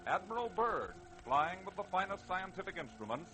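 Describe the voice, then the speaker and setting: A theatrical American man narrating in 1930s radio style. His voice is fairly high and very varied in pitch, emphatic, with music at times faintly behind him. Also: very animated, moderate pace, sharp tone. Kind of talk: 1930s-40s dramatic scripted narration.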